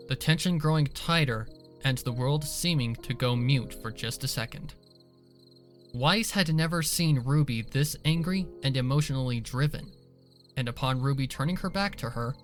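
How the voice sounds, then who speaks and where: A man's voice: medium pitch, normal speed, faint music behind. A man reading scripted dramatic story narration as the narrator of an audio drama.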